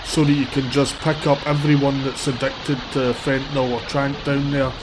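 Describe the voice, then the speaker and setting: Guy with a cold rambling bluntly on a phone livestream, mid-pitched, loud traffic noise behind. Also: level tone, moderate pace. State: sniffly with a cold. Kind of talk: live street commentary. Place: outdoors on a city street. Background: traffic and vehicles.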